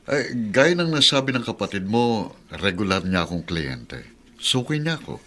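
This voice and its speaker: A Filipino man acting a smug older client in a radio drama, teasing and deliberately insinuating. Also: fairly low pitch, sing-song, fairly bright tone. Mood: smug, taunting. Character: smug older male client, slyly revealing secrets.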